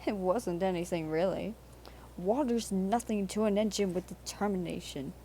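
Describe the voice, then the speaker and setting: A woman's voice: medium pitch, moderate pace, warm tone, slight background noise, natural voice. A woman voicing a cheeky, conceited young male steam engine in a children's storybook. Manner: conceited, playful boasting.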